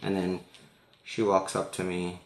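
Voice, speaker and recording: A man casually telling a personal story in an animated way, as if acting out the conversation for listeners. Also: low-pitched, unhurried, roomy sound, poor audio. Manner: animated, acts out dialogue.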